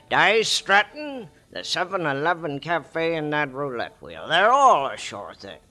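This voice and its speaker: An actor playing a smooth, scheming con man who talks in a cool, quietly menacing way, on an old radio drama. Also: fairly high pitch, very animated, slow pace, heavily acted. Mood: sly. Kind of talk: 1940s radio acting. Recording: old radio broadcast with faint music.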